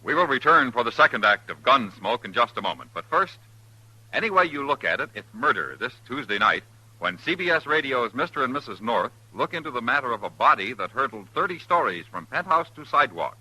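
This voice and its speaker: A man in the role of a 1950s radio announcer. His voice is very bright and forceful, with a husky grain. He reads the scripted promo with lively, dramatic swings. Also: mid-range voice, brisk, dry recording, poor audio. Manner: dramatic, polished delivery.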